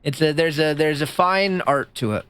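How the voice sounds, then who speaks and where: A man streaming live with a squeaky voice, chatting with his chat in a rambling, jokey way that jumps up high when he gets excited. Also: normal speed, clear, resonant tone, no room sound, raised voice. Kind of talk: casual livestream chat reading chat. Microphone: streaming mic.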